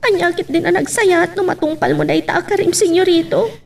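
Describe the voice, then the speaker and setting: Actress as young housemaid, very high voice, Ilocano accent, meek, lovestruck, hesitant, melodrama. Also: female voice, fairly quick, gravelly, darkish tone, slight background noise. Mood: tearful, doubting. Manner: hesitant, deferential.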